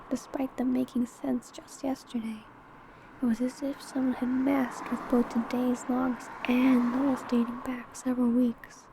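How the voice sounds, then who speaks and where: A woman playing a secretive, sly vampire coworker in an ASMR roleplay, chatting coyly to the listener in a hushed voice that drifts toward whispering. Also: sits high, gravelly, noisy background. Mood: hushed, conspiratorial. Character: secretive female vampire coworker, older senior, sly and possessive.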